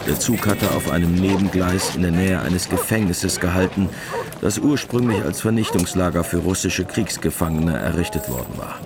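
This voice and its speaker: A man's voice: low voice, animated, normal speed, background noise. A man narrating a scripted radio drama. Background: music.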